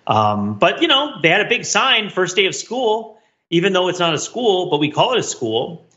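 A middle-aged man with a forceful, bright voice co-hosts an intellectual podcast. He talks discursively and wryly, reaching for academic words in casual discussion. The recording is a little dull and lo-fi. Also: high voice, very animated, moderate pace. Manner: discursive, wry, academic vocabulary. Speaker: podcast host.

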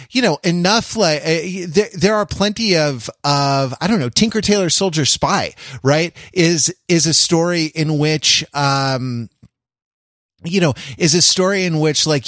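A man co-hosting a podcast in casual conversation, halting and restarting as he works through his thoughts. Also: on the high side, fairly bright tone, dry recording, clean studio sound. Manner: halting, hedging, frequently restarting mid-thought. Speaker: podcast co-host. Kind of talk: casual discussion of stories and genre.

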